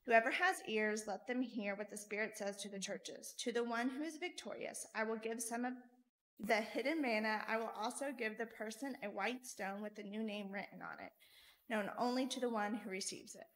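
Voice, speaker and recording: A woman reads scripture aloud in church, her voice sitting fairly high. She keeps a measured, steady pace from line to line. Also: rough recording. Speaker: scripture reader. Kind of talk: scripture reading.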